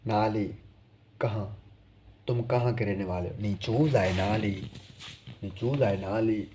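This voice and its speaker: A man giving an online language lesson as a teacher, slowly repeating each phrase so learners can drill it. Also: on the low side, warm tone.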